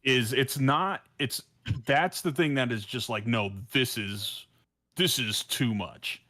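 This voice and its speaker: Male podcast panelist, unhurried delivery, informal and emphatic, restarts phrases while thinking aloud. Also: mid-range voice, pushed and bright. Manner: halting, repeats words, emphatic, informal. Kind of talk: casual podcast discussion.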